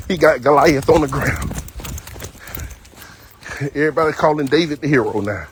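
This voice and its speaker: A man's voice: on the high side, a little hiss or hum. A man in his fifties, a dog trainer, talking casually and bluntly while walking his dog on an outdoor trail. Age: 50s. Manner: talkative, blunt, folksy storytelling.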